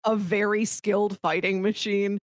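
A woman co-hosts a casual film podcast in conversational style. Her voice sits at an average pitch and sounds very dry, with no room echo around it. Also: little pitch movement, moderate pace. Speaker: podcast co-host. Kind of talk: casual movie discussion.

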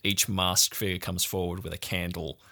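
Male game master, lowish but bright voice, halting improvised scene-setting over faint music. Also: flat delivery, vocal fry. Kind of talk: improvised narration of a tabletop game.

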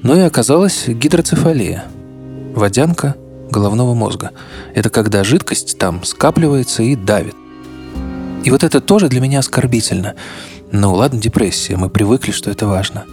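A man's voice: medium pitch, expressive, normal speed, a little mellow. A man hosting a podcast, narrating in a conversational, wry and colloquial way, speaking straight to the listener. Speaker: podcast host. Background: background music.

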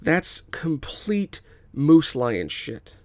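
A man's voice: fairly high pitch, big pitch swings, moderate pace, vocal fry, no echo, voice pushed up. A man doing a blunt young airbender. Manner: blunt, matter-of-fact.

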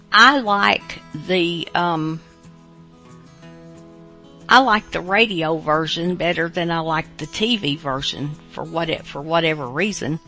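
A woman's voice: lowish voice, bright, forceful tone. A woman hosting a radio show, chatting casually in a hesitant, rambling way.

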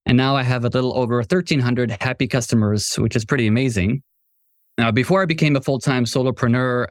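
A man from Morocco talking conversationally as a podcast guest, telling his own story as a software entrepreneur. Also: mid-range voice, dry recording, clean studio sound.

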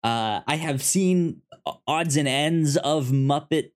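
A man's voice: fairly high pitch, takes it slowly. A man co-hosting a podcast in casual chat about films, phrasing his thoughts a little hesitantly. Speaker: podcast co-host.

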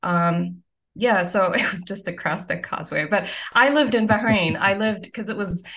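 A young woman from Saudi Arabia, a guest physician-scientist, explaining her research slowly, with many ums and uhs. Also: medium pitch, a bit bright. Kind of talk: conversational interview answers.

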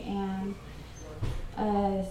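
A woman's voice: mid-range voice, completely flat delivery, very slow, dark tone, a little hiss or hum. A woman from the Page area introducing herself on a panel with a formal greeting. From Page area, Arizona. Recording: echoey room.

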